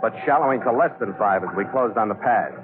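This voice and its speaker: A man's voice: fairly low pitch, animated, fast talker, natural voice. A man acting a seasoned ship's captain narrating his log in a terse 1940s radio adventure style. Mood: grave, suspenseful. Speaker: lead actor and narrator. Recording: tinny 1940s radio recording with music.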